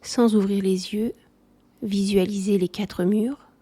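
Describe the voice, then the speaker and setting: Calm lady doing guided meditation, mellow breathy voice, soft and soothing. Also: medium pitch, takes it slowly. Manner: calm, soothing, softly spoken. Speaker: yoga nidra instructor.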